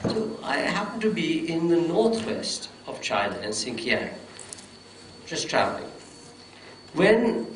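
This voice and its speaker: A man on a festival panel telling a travel story at length, pausing often with hesitations and restarts. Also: mid-range voice, fairly bright tone. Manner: rambling, full of hesitations and restarts. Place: festival hall on stage. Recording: roomy distant hall mic with faint noise.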